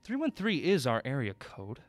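A man playing a mild, curious young sidekick in an audio drama, gently wry and a little hesitant. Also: medium pitch, wildly swooping pitch, moderate pace, faint music behind. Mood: curious, teasing.

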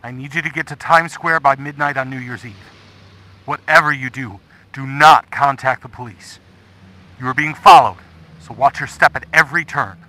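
Man acting a forceful, urgent uncle on tape. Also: medium pitch, moderate pace, lightly acted, low-quality recording. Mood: grave, urgent. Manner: grave, emphatic, commanding. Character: urgent uncle leaving a secret taped warning. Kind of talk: radio drama acting.

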